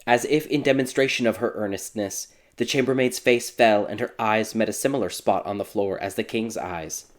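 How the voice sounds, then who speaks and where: A man's voice: lowish voice, moderate pace. A man reading a scripted fairy tale as a dramatic audiobook narrator.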